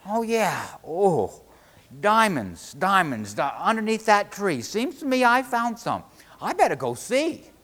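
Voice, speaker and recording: A man's voice: fairly high pitch, very animated, unhurried, few pauses, fairly bright tone, heavily acted. A man voicing a brash, scornful fisherman in a folk tale, blustering in salty rural talk. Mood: puzzled, searching. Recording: hall reverb, live-sounding room.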